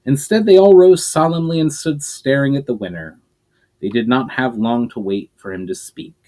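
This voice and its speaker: Guy reading his fantasy book out loud, expressive, trips over a word here and there. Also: mid-range voice, moderate pace. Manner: expressive narration with occasional slips and restarts. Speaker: storyteller. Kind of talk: dramatic reading of a fantasy novel.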